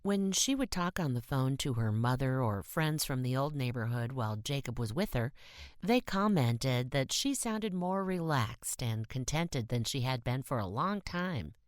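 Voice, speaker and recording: A woman's voice: rumbling low, animated, unhurried, dry recording, clean studio sound. A woman reading a short story as an audiobook narrator in a scripted style.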